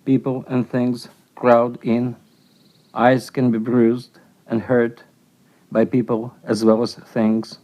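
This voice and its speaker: Male poet for verse recital. Mid-range voice, flat intonation. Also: medium pitch, slow pace, low-quality recording. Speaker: poet reading own poem. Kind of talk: poetry recitation.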